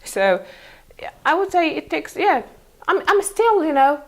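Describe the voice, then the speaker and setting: A young woman from Mongolia with a Mongolian accent, answering casually in halting, self-correcting non-native English. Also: sits high, big pitch swings. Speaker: interview guest, international school teacher.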